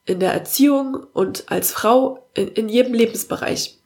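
A woman's voice: on the high side, moderate pace, darkish tone. A young German woman with a cold, hosting her own coaching podcast in an earnest, encouraging way with many filler sounds. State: has a cold. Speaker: podcast host and parenting coach. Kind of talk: casual spoken monologue.

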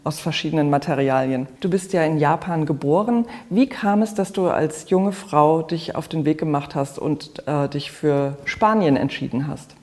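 An interviewer speaking in an articulate, measured way, as in an arts feature. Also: medium pitch, a little mellow. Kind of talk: arts interview with scripted introduction.

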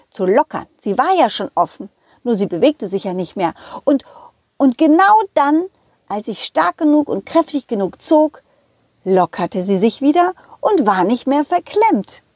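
A woman telling a story to children in the first person, with expressive emphasis and direct address to young listeners. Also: fairly high pitch, moderate pace, breathy edge, no echo, clean studio sound.

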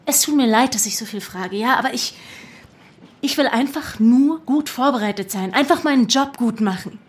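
A woman's voice: a little high, normal speed, lightly acted. A woman playing an earnest young undercover agent in an audio drama, insistent and urgent. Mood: defensive, insistent. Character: driven, earnest young female undercover agent among activists. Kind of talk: naturalistic audio drama acting. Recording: clear background noise and ambience.

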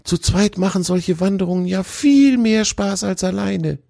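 A man voicing an eager, cheerful young male deer, talking high and excited, in a dry, close recording. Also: measured pace, gravelly, no echo, heavily acted.

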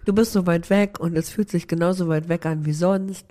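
Congested, hoarse woman podcaster. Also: lowish voice, normal speed. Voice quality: hoarse, cracking voice. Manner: chatty, slangy, lively. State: congested with a cold. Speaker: podcast co-host. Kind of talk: casual chat. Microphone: remote recording from an office.

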